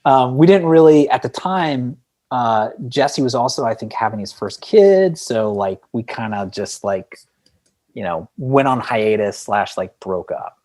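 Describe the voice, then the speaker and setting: A man's voice: mid-range voice, animated, measured pace, darkish tone. A man speaking as a podcast guest and indie band frontman, telling stories casually in a rambling way full of "like" and "you know". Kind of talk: casual conversational storytelling.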